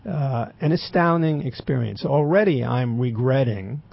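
Raspy older man with gravelly voice. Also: medium pitch, lively intonation, unhurried, no room sound, lo-fi sound. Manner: dry, wry humor with many fillers. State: throat occasionally closing up. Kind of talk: rambling personal account.

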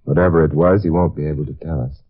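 An actor playing a suave amateur sleuth with dry, teasing wit in a 1950s radio drama. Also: male voice, a bass voice, steady tone, fast talker, breathy edge. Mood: grave, calm. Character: suave, wry adult male amateur sleuth. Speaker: radio actor, series lead. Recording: muffled vintage radio recording.

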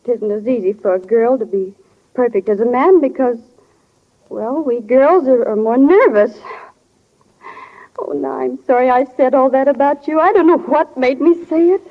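A woman's voice: high-pitched, moderate pace. An actress playing an earnest, sensitive small-town teenage girl in love, tender and hesitant, in a 1940s radio drama. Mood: upset, earnest. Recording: dull, lo-fi 1940 radio broadcast.

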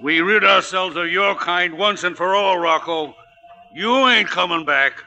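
A man's voice: high voice, unhurried, creaky, sharp tone, exaggerated voice. An actor playing a feisty, defiant old father in a 1940s radio drama, with a cracked old voice, on a dull vintage recording. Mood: defiant, furious. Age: older.

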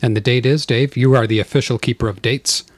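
A man's voice: medium pitch, fairly even intonation, a little fast, no echo. A man hosting a casual podcast, joking and laughing often as he reads listener mail aloud. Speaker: podcast host.

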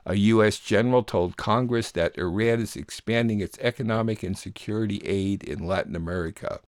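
A man's voice: fairly low pitch, a little mellow, no room sound, studio quality. A man hosting a radio news show, reading scripted announcements and headlines. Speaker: host and producer of a weekly radio news show.

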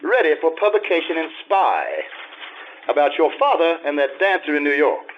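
An actor playing a drily sarcastic, teasing society gentleman with mock deference in an old-time radio play. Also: a little high, normal speed, a little hiss or hum, natural voice. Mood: firm. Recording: tinny phone-like radio-play recording.

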